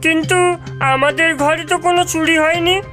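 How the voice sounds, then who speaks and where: A high-pitched, brash young village boy from a cartoon , voiced by a woman. He is cheeky and bold in an exaggerated way, with music clearly playing behind. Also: steady tone, moderate pace, a bit bright. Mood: determined. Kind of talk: cartoon voice acting.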